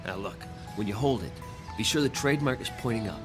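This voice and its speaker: A man's voice: lowish voice, lively intonation, fast, over music, lightly acted. An actor playing a skeptical, stubborn, wisecracking man in a radio drama, with a casual, blunt way of talking. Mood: friendly instructing.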